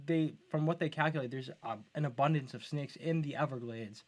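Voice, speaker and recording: A man co-hosting a podcast in casual, informal chat, agreeable and quick to interject. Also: medium pitch. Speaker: podcast co-host.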